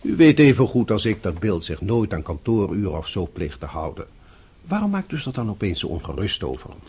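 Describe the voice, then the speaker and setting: An actor playing a blunt but concerned office boss in a Dutch radio play, formal and probing, with a husky voice. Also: male voice, lowish voice, very animated, fairly quick, a little mellow. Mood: stern, concerned.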